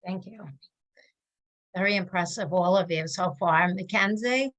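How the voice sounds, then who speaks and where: A woman moderating a student panel on a video call, brisk and encouraging. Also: medium pitch, a bit bright.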